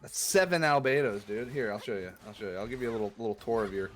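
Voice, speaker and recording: A man's voice: mid-range voice, lively intonation, fast. A man hosting a casual stream interview, laid-back and rambling, calling people "dude". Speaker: stream host.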